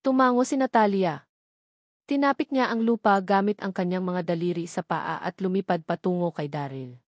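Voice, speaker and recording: A woman's voice: on the low side, moderate pace, no room sound. Woman narrating, dry close sound. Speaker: audiobook narrator. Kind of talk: scripted narration of a fantasy novel.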